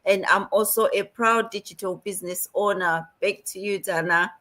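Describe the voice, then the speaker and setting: A woman from Zimbabwe, a guest on a live stream, introducing herself in steady, composed sentences. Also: mid-range voice, normal speed.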